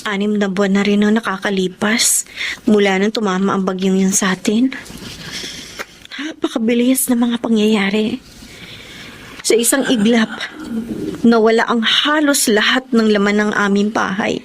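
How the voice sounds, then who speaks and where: A woman's voice: medium pitch, fairly even intonation, moderate pace, pauses often, slight background noise, lightly acted. A woman playing a determined, selfless young breadwinner in an emotional radio drama, speaking earnestly and resolutely. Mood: weary, defensive.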